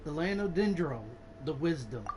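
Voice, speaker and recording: A woman's voice: low voice, animated, moderate pace, noisy background. A woman gamer giving brief, casual reactions during gameplay. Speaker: gameplay channel creator.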